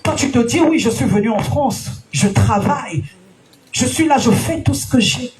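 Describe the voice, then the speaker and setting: High-voiced woman preaching with shouted peaks. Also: animated, moderate pace, soft background music. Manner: emphatic sing-song preaching with shouted peaks; frequent alleluias and direct questions to listeners. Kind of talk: sermon. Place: church gathering.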